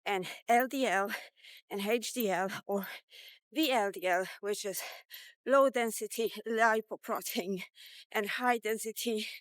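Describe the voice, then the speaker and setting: A woman's voice: mid-range voice, unhurried, no room sound. A woman in her fifties talking informally and candidly in a rambling, casual vlog. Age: 50s. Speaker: vlogger. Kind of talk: casual walk-and-talk vlog.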